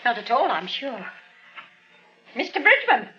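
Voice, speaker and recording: A woman's voice: on the high side, big pitch swings, unhurried, soft background music. An actress playing a fussy, flustered landlady in a 1940s radio play. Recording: dull old radio broadcast.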